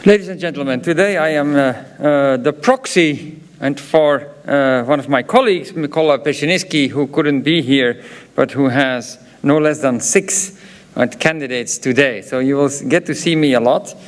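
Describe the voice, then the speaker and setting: A man's voice: medium pitch, moderate pace. A man from Belgium with a Flemish accent, a professor giving a graduation ceremony speech, hesitant and full of filler sounds, with chatty asides. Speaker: professor presenting diplomas.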